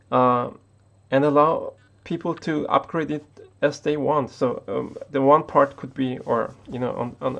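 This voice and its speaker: A man's voice: medium pitch, vocal fry, highs cut off. A man from Austria, not an English speaker, talking unscripted to the camera as a tech YouTuber, hesitant with many restarts.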